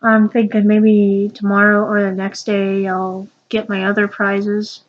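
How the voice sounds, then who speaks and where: Young woman, stuffy and sniffling, slow halting vlog chat, slightly dull lo-fi audio. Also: mid-range voice, monotone. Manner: halting, with many ums and restarts. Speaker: vlogger. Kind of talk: casual unboxing vlog.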